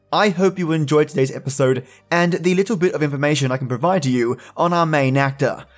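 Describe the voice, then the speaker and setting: Male podcast host, slightly high voice, conversational commentary, faint music behind.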